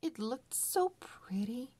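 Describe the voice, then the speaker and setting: Female read-along narrator for young children: deliberate, very slow delivery, lively melodic pitch. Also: husky. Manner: deliberate, word-by-word reading for early readers.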